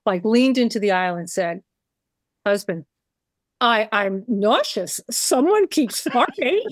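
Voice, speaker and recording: A woman with a loud New York accent co-hosting a comedy podcast and reading listener letters. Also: mid-range voice, lively intonation, unhurried, no room sound, studio quality. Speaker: podcast co-host. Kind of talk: casual comedic chat.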